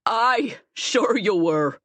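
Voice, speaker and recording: A woman voicing a gruff, bullying male officer with coarse, mocking commands in an audiobook. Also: mid-range voice, animated, very slow, fairly bright tone, no echo, pitched above the performer's own voice. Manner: coarse, barking, colloquial commands. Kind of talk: audiobook character voice.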